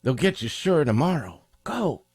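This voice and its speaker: A man's voice: fairly high pitch, big pitch swings, normal speed, darkish tone, breathy edge, dry recording, studio quality, soft background music, pitched above the performer's own voice, exaggerated voice. A man puts on a furtive crook of a dog from a storybook crime tale. His urgent warnings come in a raised, swooping voice.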